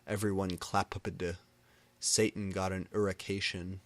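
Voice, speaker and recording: A man narrating comic fan fiction as a deadpan dramatic reading. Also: deep voice, measured pace.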